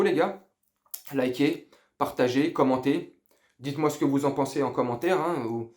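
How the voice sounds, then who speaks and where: A man's voice: mid-range voice, a little mellow. Informal, hesitant man giving a football debrief. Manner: informal, slangy, hesitant and rambling; underwhelmed, lacking enthusiasm. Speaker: football fan vlogger. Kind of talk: casual post-match debrief to viewers.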